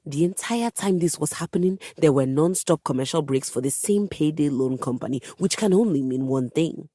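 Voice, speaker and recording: A woman's voice: sits low, warm tone, slightly rough, dry recording, clean studio sound, exaggerated voice. A woman voicing a haughty, melodramatic musical instrument with a composer's snobbery in a video game, speaking with theatrical, pompous emphasis. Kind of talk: video game character voice acting.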